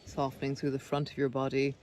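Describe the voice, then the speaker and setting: A man leading a guided body scan meditation, speaking gently and unhurriedly with pauses between phrases. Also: mid-range voice, completely flat delivery. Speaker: meditation guide, breath coach. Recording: clear background noise of sea sounds. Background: sea sounds.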